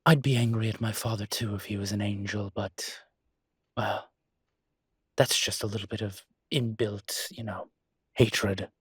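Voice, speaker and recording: A man's voice: fairly low pitch, fairly even intonation, a little slow, breathy edge, dry recording, clean studio sound. A man playing a refined incubus demon professor in an intimate audio roleplay, explaining things in a hesitant, genteel and slightly irritated but patient manner. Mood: exasperated, explaining.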